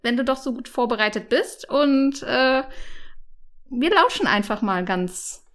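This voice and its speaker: A woman's voice: on the high side, expressive, a little slow. A woman hosting a book podcast in casual chat, playful and joking. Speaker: podcast host.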